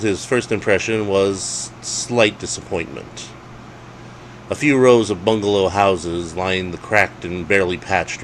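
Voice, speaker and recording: Male narrator, low register, wry storyteller reading spooky comedy, slight noise behind the voice. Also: a bit bright, poor audio. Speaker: author reading own story.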